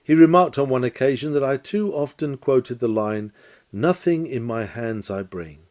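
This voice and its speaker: A man reading a scripted nineteenth-century sermon lecture aloud, with measured, emphatic oratorical phrasing and formal diction. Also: mid-range voice, expressive, a little mellow.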